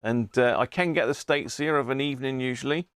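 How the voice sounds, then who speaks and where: A man's voice: medium pitch, brisk, a bit bright, smooth, no room sound. British man, dry close sound, casual livestream. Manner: rambling, thinking aloud, chummy asides. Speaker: livestream host, CB radio hobbyist. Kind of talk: casual live chat to viewers. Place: indoor radio room.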